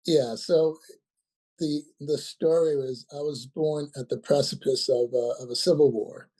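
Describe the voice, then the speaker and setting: Sixty-ish guy from nigeria, husky mellow voice, lots of ums n restarts. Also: a little high, fairly even intonation, measured pace, poor audio. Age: about 60. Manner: hesitant, many ums and restarts. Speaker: guest, company founder. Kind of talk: casual interview talk.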